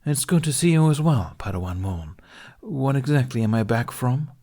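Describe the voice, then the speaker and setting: A man's voice: mid-range voice, expressive, moderate pace, mellow, voice pushed up. A man voicing a weary, courteous older male master with a formal, hesitant manner in a narrated story. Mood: courteous, awkward.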